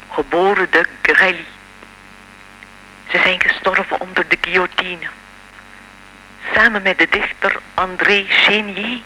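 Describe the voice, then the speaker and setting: A very bright, sharp-voiced old lady in a radio play. She is formal, composed and knowing, measured in all she says. A faint hiss lies under the recording. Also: fairly low pitch, full character voice, poor audio. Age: older.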